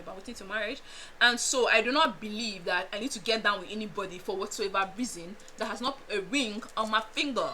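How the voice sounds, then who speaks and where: Bright-voiced Nigerian woman telling a story. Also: mid-range voice, a little hiss or hum, poor audio. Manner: animated, laughs often, chatty asides to viewers. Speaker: vlogger. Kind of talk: casual storytime chat.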